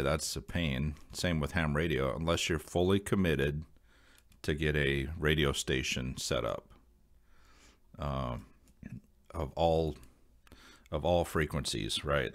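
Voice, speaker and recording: Very deep-voiced man, casual vlogger. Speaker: video host. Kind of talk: casual explainer vlog, talking to viewers.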